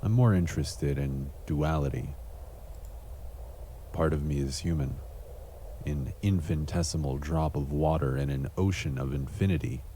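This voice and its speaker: Very deep, brooding noir antihero. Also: male voice, moderate pace, slight background noise. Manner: deadpan, brooding. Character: world-weary cynical male cyberpunk noir antihero, brooding narrator. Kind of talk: noir monologue voice acting in an adventure game.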